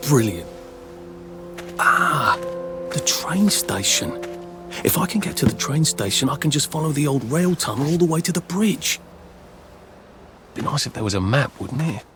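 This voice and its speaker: A man's voice: medium pitch, lively intonation, measured pace, pauses often, over music, close to an everyday voice. A man with an English accent playing a polite, timid, wry everyman hero who mutters dry, self-deprecating remarks in a video game. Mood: wry, uneasy. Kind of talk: video game cutscene acting.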